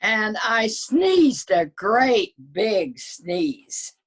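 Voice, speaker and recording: A woman's voice: mid-range voice, big pitch swings, very slow, breathy edge, voice pushed up, exaggerated voice. A woman voicing a sly, folksy storybook wolf who protests his innocence in a conversational way. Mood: mock-innocent. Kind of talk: dramatic children's storybook reading.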